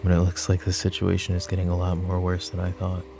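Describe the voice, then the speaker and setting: Man acting a low, exasperated mafia boss over music. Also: monotone, fast talker, vocal fry. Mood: weary, troubled. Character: exasperated, weary male mafia boss, secretly principled. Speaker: voice actor in audio roleplay. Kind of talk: ASMR audio drama roleplay.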